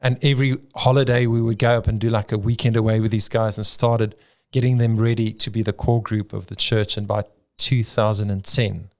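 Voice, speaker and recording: A man's voice: lowish voice, flat delivery, a little fast. A middle-aged South African man, a pastor whose first language is Afrikaans, telling his story in an interview with frequent ums and restarts. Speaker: pastor and church planter.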